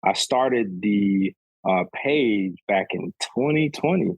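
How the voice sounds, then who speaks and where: A man in his thirties, a podcast guest, chatting in a relaxed, rambling way, often tacking "man" onto his sentences. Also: on the low side, slow, no room sound, highs cut off. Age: 30s. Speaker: podcast guest, golf sneaker reviewer. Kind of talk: casual conversation.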